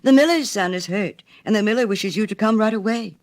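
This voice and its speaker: A woman's voice: medium pitch, fairly quick, pitched above the performer's own voice, natural voice. A woman voicing a humble, gullible little man in a storybook, shy and eager to please. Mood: urgent.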